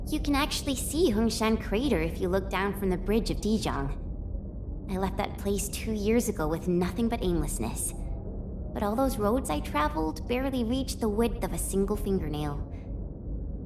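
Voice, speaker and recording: A woman playing a cheerful, spirited, earnest young swordswoman adventurer in a video game, with a perky, expressive, youthful delivery. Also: medium pitch, close to an everyday voice. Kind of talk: video game character voice lines.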